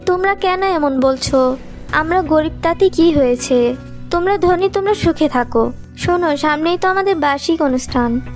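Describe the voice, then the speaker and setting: A woman's voice: high voice, normal speed, faint music behind, close to an everyday voice. A woman voicing a poor, proud and dutiful young schoolgirl in a cartoon, earnest and polite. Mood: defiant. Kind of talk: cartoon voice acting.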